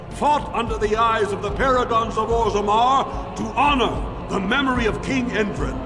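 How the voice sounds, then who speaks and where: An actor playing a grandly ceremonial male dwarf arena master in a fantasy game, announcing fights in formal, declamatory style. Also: high-pitched. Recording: long hall reverb, music behind.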